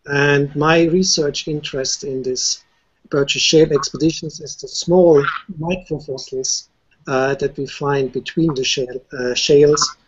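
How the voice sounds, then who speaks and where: A male researcher chatting casually on a video call, recounting things in a lively way. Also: fairly high pitch, slightly rough, dull audio. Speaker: university researcher. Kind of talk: casual panel chat.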